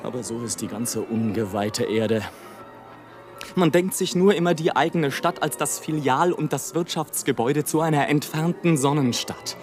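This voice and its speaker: A man's voice: a little high, normal speed, music in the background, natural voice. An actor playing a bitter, world-weary male balloonist in a German radio play, declaiming in an eloquent, theatrical and ironic way. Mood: sardonic.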